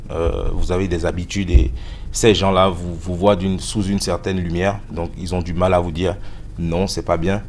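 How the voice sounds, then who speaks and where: Deep, flat-voiced man with a Beninese accent. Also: a little hiss or hum. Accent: Beninese French. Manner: hesitant, many fillers. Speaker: rap artist. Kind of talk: interview about his album.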